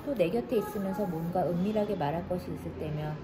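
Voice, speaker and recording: Low-voiced woman reading a novel aloud. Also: quick pace. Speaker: audiobook narrator. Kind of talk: literary narration. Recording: loud background noise.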